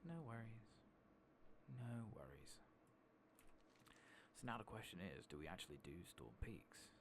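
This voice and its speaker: A man doing soft-spoken ASMR commentary over a game, casually rambling and thinking aloud with the odd swear. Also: fairly low pitch, very animated, a little slow, noisy background. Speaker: gaming streamer.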